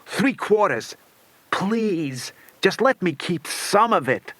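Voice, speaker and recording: A man's voice: sits high, big pitch swings, takes it slowly, a bit bright, no room sound, pitched above the performer's own voice, full character voice. Man acting a ruthless, snapping villain boss. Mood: desperate pleading. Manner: snapping, frantic. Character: ruthless male villain boss, barking orders, then desperately pleading.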